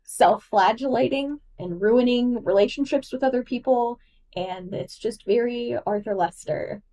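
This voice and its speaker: Woman co-host, casual and excitable, filler-heavy, slightly off-mic. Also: a little high, unhurried, recorded from a distance, rough recording. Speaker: podcast co-host. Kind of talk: enthusiastic explaining in casual chat.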